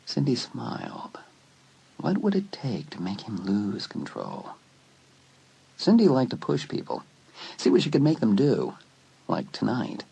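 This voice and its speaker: A man narrating a dramatised teen horror story in an expressive, dramatic storytelling manner. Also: medium pitch, measured pace, gravelly, pauses often, husky, rough recording. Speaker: audiobook narrator.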